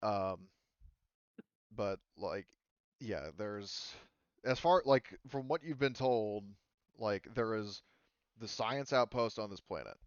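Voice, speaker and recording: A man's voice: on the low side, lively intonation, takes it slowly, pauses often, clean tone, no echo, lo-fi sound. A man speaking casually as a game master, explaining rules and settings in a hesitant, rambling, easygoing way.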